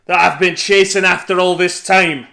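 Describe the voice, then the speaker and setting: A storyteller voicing a huge, gluttonous bully giant, loud and bellowing. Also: male voice, sits high, steady tone, moderate pace, gravelly, reverberant, full character voice.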